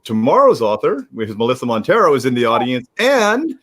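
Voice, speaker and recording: Man, live stream host, mid-pitched, rambling, restarts sentences, casual announcements. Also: wildly swooping pitch, brisk, fairly bright tone. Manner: rambling, with many restarts and fillers. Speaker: host of an online author readalong.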